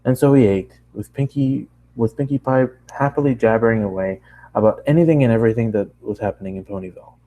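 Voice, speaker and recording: A man with a dark, mellow voice reads fan fiction as an amateur voice actor. He sits at an average pitch and sometimes trips over words, with an apologetic, informal manner. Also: fast, poor audio. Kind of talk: story narration with casual asides.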